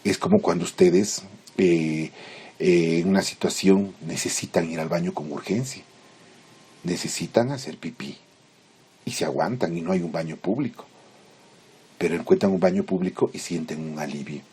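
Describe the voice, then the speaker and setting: A man lecturing in Spanish as a teacher, explaining theory informally in halting, didactic phrases with check-in questions. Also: sits low, dark tone, slightly rough. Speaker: psychology lecturer.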